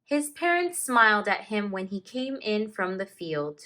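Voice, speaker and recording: A woman teacher reading a picture book aloud to children, expressively and with deliberate dramatic emphasis. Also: mid-range voice, big pitch swings, sharp tone.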